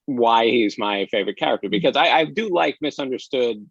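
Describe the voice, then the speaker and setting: A man's voice: mid-range voice, lively intonation, fairly quick, creaky, fairly bright tone, breathy edge, no room sound. A man speaking as a podcast guest, a director chatting casually in an interview over slightly dull audio.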